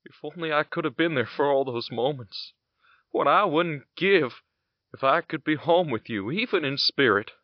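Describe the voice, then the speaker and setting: An actor playing a gentle, tired soldier father in an audio drama, speaking wistfully and haltingly as he longs for home. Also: on the high side, lively intonation, dry recording, highs cut off. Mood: wistful, longing. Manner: wistful, halting, stammering delivery.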